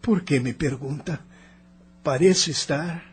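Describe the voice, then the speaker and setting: A man's voice: fairly high pitch, unhurried, husky. A man playing an anguished, regretful young emigrant's restless spirit in an audio drama, with dramatic, emotional delivery. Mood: uneasy, regretful. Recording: music behind the voice.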